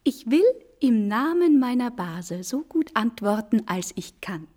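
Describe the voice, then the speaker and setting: A witty young gentlewoman with a very soft-toned, fairly high voice. She speaks with playful, mannered politeness in period radio-drama style. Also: lively intonation, unhurried. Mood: playful, admiring.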